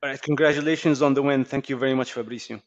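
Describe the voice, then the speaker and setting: A man's voice: medium pitch, steady tone, rapid-fire, lo-fi sound. A male reporter asking a fighter questions, hesitant and full of fillers. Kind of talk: press conference question.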